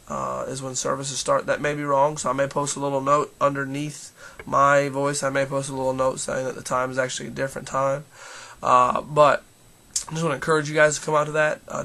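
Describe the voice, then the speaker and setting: Hoarse, bright-voiced man, gravelly edge. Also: medium pitch, monotone, moderate pace. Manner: earnest, encouraging, with many restarts and fillers. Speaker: church leader giving a daily video devotional. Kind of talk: casual devotional vlog.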